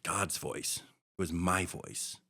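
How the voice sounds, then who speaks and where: A middle-aged man from Hawaii, a podcast guest, telling a personal story in a halting, reflective way with many restarts. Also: sits low, steady tone, bright, forceful tone.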